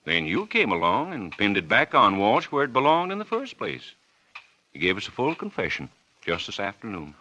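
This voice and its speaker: A man's voice: fairly low pitch, wildly swooping pitch, bright, forceful tone, full character voice. An American actor playing a sly, folksy police captain who needles his suspect with insinuating talk in a 1940s radio drama. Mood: accusing. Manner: folksy, insinuating, drawn-out deliberate teasing. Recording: noisy old 1940s radio recording with clicks.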